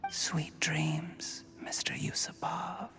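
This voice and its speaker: Smug, high-voiced scheming villain. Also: male voice, flat delivery, takes it slowly, whispered. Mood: hushed menace. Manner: smooth, gloating, mock-courteous, theatrical. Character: smug, scheming male conspirator, coolly polite villain. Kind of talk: English video game voice acting. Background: background music.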